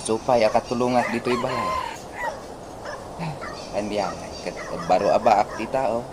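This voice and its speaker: An actor playing a weary, fond ageing uncle in a village radio comedy drama. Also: male voice, on the low side, normal speed, lightly acted. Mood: weary, reassuring. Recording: noisy radio drama, birdsong ambience behind.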